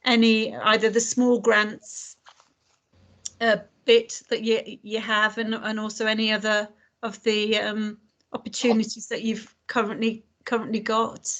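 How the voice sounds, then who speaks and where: A woman's voice: a little high, monotone, a little slow, fairly bright tone. A woman leading an online community meeting over a video call, talking with many fillers and restarts. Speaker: meeting facilitator.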